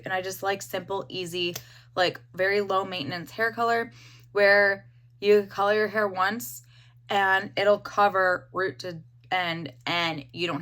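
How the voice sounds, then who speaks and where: Hoarse young woman, bright rambling chat. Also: medium pitch. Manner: rambling, frequent "like" fillers. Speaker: beauty vlogger. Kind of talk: casual hair-dye review.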